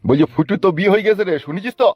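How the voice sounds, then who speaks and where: A man's voice: sits high, racing, slightly rough, no echo. A man voicing a folksy, inquisitive older village uncle in a comedy cartoon. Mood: curious. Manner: familiar, inquisitive. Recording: dull lo-fi cartoon audio.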